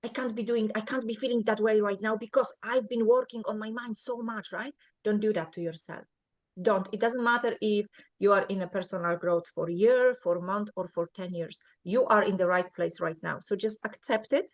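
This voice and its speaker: A woman's voice: mid-range voice, normal speed. Earnest Polish-accented woman coach. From Poland. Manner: earnest, often checks in with "right?". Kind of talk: coaching advice in an interview. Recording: muffled lo-fi audio.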